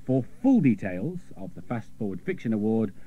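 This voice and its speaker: A man's voice: fairly low pitch, big pitch swings, moderate pace, slightly rough. An English man presenting and interviewing on a children's radio magazine show. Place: radio studio. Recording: dull old cassette radio recording.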